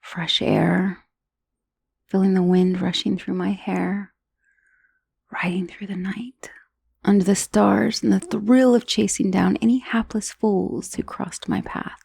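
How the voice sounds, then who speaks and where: A woman's voice: medium pitch, steady tone, slow pace, creaky, darkish tone, breathy edge, no echo, heavily acted. A woman playing an undead dullahan's severed head in an ASMR roleplay, conversational and dryly sardonic, menacing yet wistful, with sighs and hesitations. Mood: weary, wistful.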